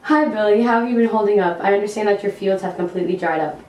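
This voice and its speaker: A woman's voice: mid-range voice, quick pace, darkish tone, slight background noise. A woman reporter delivering a scripted amateur news report. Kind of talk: scripted amateur mock newscast. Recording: echoey room, distant mic.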